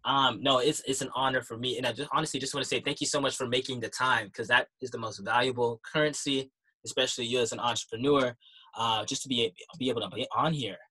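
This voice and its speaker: A man's voice: mid-range voice, steady tone, quick pace, sharp tone, breathy edge, low-quality recording. A man hosting a podcast, greeting his guest with enthusiasm and plenty of fillers. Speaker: podcast host. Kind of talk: casual interview.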